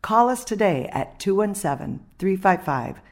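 A woman's voice: medium pitch, expressive, normal speed. A woman delivering a scripted radio advertisement as a financial advisor.

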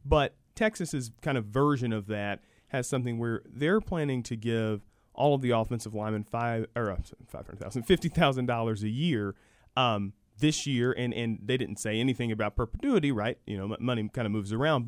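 A man's voice: mid-range voice, a little fast, dry recording. Man explaining as radio guest, dry sound. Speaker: guest, university program director. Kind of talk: radio sports talk interview, explanatory.

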